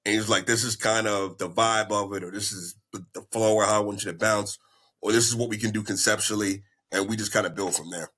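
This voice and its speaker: A man, a rapper, talking in a laid-back, slow way with many fillers in a casual interview. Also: fairly low pitch, steady tone, pushed and bright, husky.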